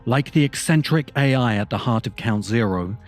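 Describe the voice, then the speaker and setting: Measured man narrating an essay over music. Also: mid-range voice, animated. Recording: music bed under the voice. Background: background music.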